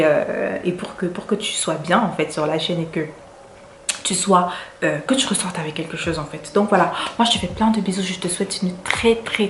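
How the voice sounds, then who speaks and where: A woman's voice: on the low side, reverberant, a little hiss or hum. A woman from Cameroon with a French accent, chatting to her viewers in a casual vlog, repeating words for emphasis. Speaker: vlogger.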